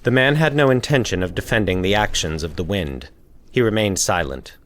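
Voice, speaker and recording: A man's voice: fairly low pitch, lively intonation, normal speed, a bit bright. A man reading scripted fiction as the narrator of a story podcast. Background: faint atmospheric sound effects.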